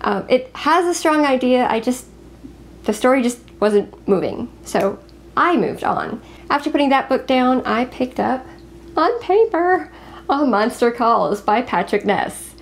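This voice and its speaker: Woman, fairly high voice, casual book chat, expressive, confiding co-host. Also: a little slow, slight background noise. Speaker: co-host of a book review show.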